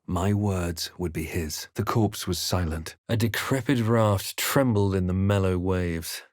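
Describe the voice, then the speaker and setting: A brooding young adventurer from a fantasy video game. He speaks in a measured, dramatic but understated way, with wry gravity. The voice sits slightly low and the sound is very dry and close. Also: darkish tone, no echo. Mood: grave, haunted. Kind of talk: video game character lines and narration.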